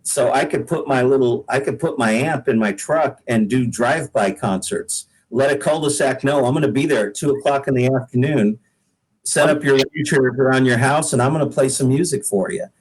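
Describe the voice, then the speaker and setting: A man's voice: mid-range voice, a little fast, husky, low-quality recording. A middle-aged American man, a singer-songwriter chatting casually as a guest in a folksy, joking, rambling way. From Pacific Northwest, United States. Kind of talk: casual podcast interview chat.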